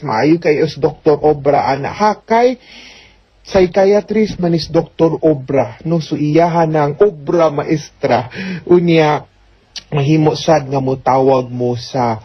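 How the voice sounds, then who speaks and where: A woman with a Cebuano accent hosting a radio advice show as an attorney, explaining things conversationally with frequent tag questions. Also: on the low side. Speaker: host, attorney giving advice. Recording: dull lo-fi audio.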